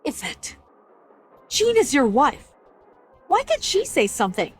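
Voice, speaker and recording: A woman's voice: mid-range voice, expressive, normal speed, darkish tone, faint music behind, natural voice. An actress playing an imperious mother-in-law in a dubbed period drama, haughty, commanding and scolding. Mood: indignant. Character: imperious noble mother-in-law, a scolding, sharp-tongued matriarch.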